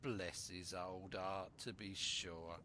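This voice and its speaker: A man's voice: low voice, fairly even intonation, takes it slowly, sharp tone, a little hiss or hum, voice pushed down, exaggerated voice. A man voicing a sly, falsely friendly pirate seaman in sing-song rustic sailor's speech for a storybook. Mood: wheedling, sly.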